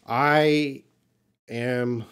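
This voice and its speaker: A man hosting a live English lesson, answering learner questions in a deliberate, emphatic way and repeating key points. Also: medium pitch, steady tone, fairly bright tone, clean tone. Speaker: English teacher, live Q&A host.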